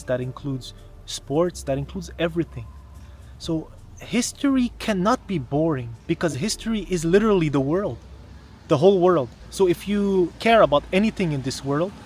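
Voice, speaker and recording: A man's voice: a little high, darkish tone. A man from Canada talking to the camera in a casual explanatory vlog, earnest and emphatic, often posing rhetorical questions. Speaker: vlogger, history content creator.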